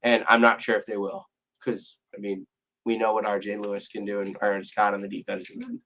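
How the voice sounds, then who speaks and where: A hoarse young man co-hosting a casual sports podcast, losing his voice and restarting his sentences as he rambles on. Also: fairly low pitch, completely flat delivery, a little fast, pushed and bright, a little hiss or hum, poor audio. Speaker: podcast co-host.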